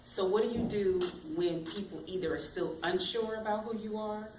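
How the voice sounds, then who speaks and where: A woman career coach giving bold, expressive motivational advice in an interview. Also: mid-range voice, normal speed, slight background noise. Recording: echoey room, mic at a distance.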